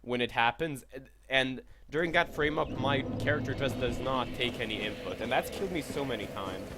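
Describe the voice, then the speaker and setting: Young Serbian-accented male streamer, loose and chatty, swears freely; mid-pitched voice, loud noise behind. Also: fairly quick. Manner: chatty, swears, thanks viewers, reacts to gameplay. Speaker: game streamer. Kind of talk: casual live-stream commentary.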